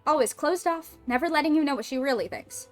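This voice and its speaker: A woman's voice: sits high, animated, fast, soft background music. A woman voicing a tiny, chatty floating companion creature, nosy and blunt.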